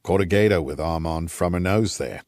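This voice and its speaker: A man voicing an aging, gruff Rhodesian patriarch, a wry and nostalgic old scout, in an audiobook. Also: deep voice, moderate pace, darkish tone, no echo, clean studio sound. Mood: wry reminiscing. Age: older.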